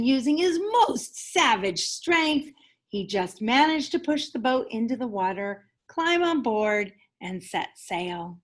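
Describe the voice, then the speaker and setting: A woman reading a children's picture book aloud as a teacher, with enthusiastic, animated delivery and dramatic emphasis. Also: a little high. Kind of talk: expressive children's story reading.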